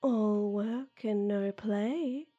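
A woman performing a scripted audio roleplay in a whispery, breathy, teasing way. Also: medium pitch, very slow, dark tone, lo-fi sound, soft background music.

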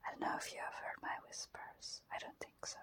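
A young woman with a Dutch accent whispering softly and shyly into a close microphone, giggling often and hesitating with many fillers. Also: brisk. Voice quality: soft whisper. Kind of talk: whispered personal ramble, ASMR-like.

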